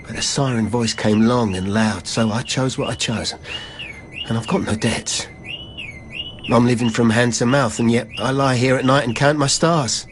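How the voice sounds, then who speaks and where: An actor playing a wistful graduate who lives in a van, earnestly persuading himself in lyrical radio drama verse. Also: male voice, medium pitch, fairly even intonation, moderate pace, noisy background, natural voice. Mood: defensive hope. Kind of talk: poetic radio drama monologue.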